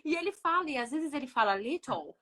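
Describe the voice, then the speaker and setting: Brazilian woman, lightly high voice, easygoing anecdotal chat. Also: lively intonation, a little fast, pushed and bright. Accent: Brazilian Portuguese. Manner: chatty storytelling, laughs easily. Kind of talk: casual chat.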